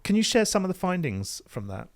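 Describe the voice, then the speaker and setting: A man's voice: high-pitched, big pitch swings, a little fast, dry recording. A man hosting a business interview podcast, reading a friendly introduction and asking questions. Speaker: podcast host.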